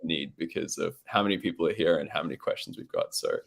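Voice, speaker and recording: An Australian man hosting an online founder session, relaxed and upbeat, with a lot of "um"s. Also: a bass voice, fast talker, gravelly, husky. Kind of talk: casual live-stream chat.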